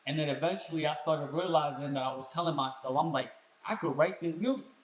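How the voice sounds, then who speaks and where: A young man from Colombia, a guest musician telling his story in a casual podcast chat with relaxed street slang. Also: on the high side, brisk. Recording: echoey room.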